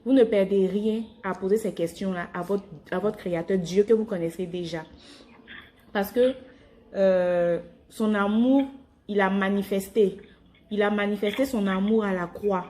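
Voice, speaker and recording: A woman's voice: medium pitch, fairly even intonation, normal speed, warm tone. A woman evangelising on a phone call, explaining her faith informally and rephrasing as she goes. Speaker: evangelist calling strangers by phone.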